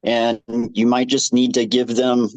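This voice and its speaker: A man giving informal advice in an online discussion, measured and rephrasing as he goes. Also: mid-range voice, level tone, darkish tone, no room sound, poor audio. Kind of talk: informal advisory talk.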